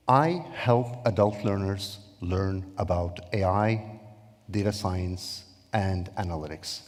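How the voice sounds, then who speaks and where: Low-voiced man hosting in a hall. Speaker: event host, adult education lecturer. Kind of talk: informal speaker introduction. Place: indoor conference room with audience. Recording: reverberant hall.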